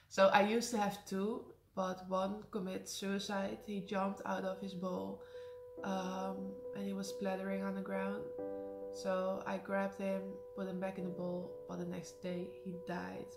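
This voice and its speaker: A young Dutch woman with a high voice and a Dutch accent, chatting casually through a Q&A, laughing often and slipping in sarcastic asides. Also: monotone, unhurried, vocal fry, over music. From Netherlands. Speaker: vlogger. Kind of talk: casual Q&A to camera. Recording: echoey room.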